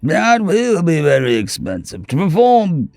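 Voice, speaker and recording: A man's voice: fairly high pitch, huge pitch swings, gravelly, darkish tone, no room sound, studio quality, pitched above the performer's own voice, full character voice. A man doing a cold, curt alien assassin. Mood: menacing. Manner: firm, clipped, measured.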